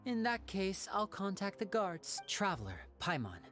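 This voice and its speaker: An actress playing a composed, probing young female investigator in a video game, measured and coolly authoritative. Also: mid-range voice, big pitch swings, fairly bright tone, soft background music. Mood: businesslike.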